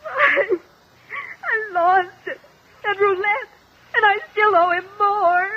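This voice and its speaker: An actress playing a spoiled, theatrical young heiress niece in 1950s radio drama style. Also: female voice, extremely high pitch, lively intonation, slow pace, heavily acted. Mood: tearful. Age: young adult. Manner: theatrical, pleading. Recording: dull lo-fi old radio recording.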